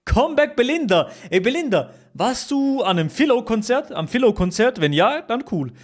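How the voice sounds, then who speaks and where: A man's voice: very high voice, sing-song, normal speed. A young man from Switzerland, streaming live and chatting casually with his viewers, jokey, repeating himself and teasing the chat.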